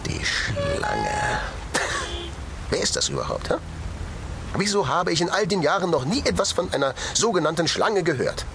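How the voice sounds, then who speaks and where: An actor playing a vain, sardonic master thief in a German audio drama, speaking in a pompous, theatrical, mocking way. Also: male voice, high voice, wildly swooping pitch, normal speed, noisy background, full character voice. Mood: indignant.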